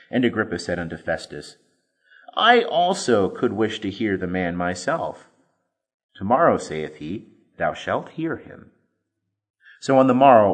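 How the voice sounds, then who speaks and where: A man narrates scripture as a Bible reader, with a very lively, swinging intonation. His delivery is measured and carefully enunciated, and he leans into phrases with dramatic emphasis. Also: mid-range voice, slightly rough.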